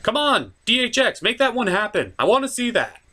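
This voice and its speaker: Animated man hosting an irreverent cartoon review. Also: very high voice, a little fast. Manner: irreverent, sarcastic, swearing. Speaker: host of a cartoon review show. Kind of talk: casual comedic review narration.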